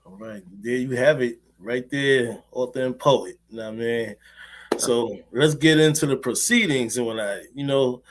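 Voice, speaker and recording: A man's voice: mid-range voice, normal speed, slight background noise. A man hosting a poetry interview show, casual and slangy, with plenty of fillers. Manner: laid-back, slangy, with fillers. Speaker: host.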